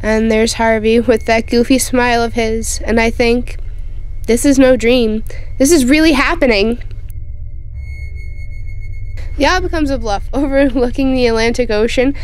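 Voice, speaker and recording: A frightened young witch girl, played by an actress recounts her doom in a highish voice. She narrates with youthful drama, as in a radio play, over a music bed. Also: fairly even intonation, normal speed, clear, resonant tone, lightly acted, poor audio. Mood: uneasy wonder. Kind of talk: first-person narration in an audio drama.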